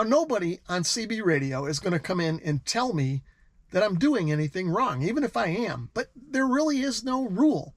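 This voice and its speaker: A middle-aged American man hosts a hobby video in a slightly high-pitched voice. He explains things conversationally, as if chatting straight to the viewer. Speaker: radio hobbyist video host. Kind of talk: explanatory vlog talk to camera.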